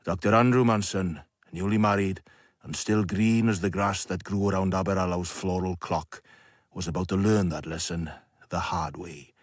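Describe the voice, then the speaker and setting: An actor playing a wry, worldly narrator in a radio drama, with a husky voice and sardonic, rhetorical delivery. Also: male voice, low-pitched, steady tone, normal speed, dry recording, studio quality, natural voice. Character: wry, worldly, philosophising male storyteller.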